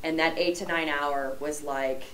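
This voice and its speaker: A woman's voice: deep voice, fairly even intonation, slight background noise, rough recording. Woman, Chicago accent, chatty radio co-host. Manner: chatty, quick to agree. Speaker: radio show co-host, former TV news anchor. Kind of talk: casual radio talk show chat.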